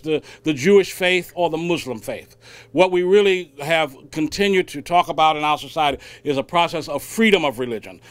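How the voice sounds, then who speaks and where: Clear-voiced man making an emphatic public statement. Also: on the high side, a bit bright, dry recording. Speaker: civil rights speaker at a news conference. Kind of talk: public statement, impassioned speech.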